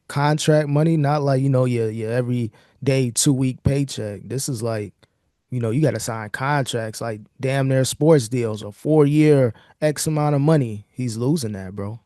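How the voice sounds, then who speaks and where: A man's voice: mid-range voice, mellow, dry recording, clean studio sound. A man hosting a podcast, talking through news in a casual, slangy, laid-back way. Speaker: podcast host. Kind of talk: casual chat and commentary on news.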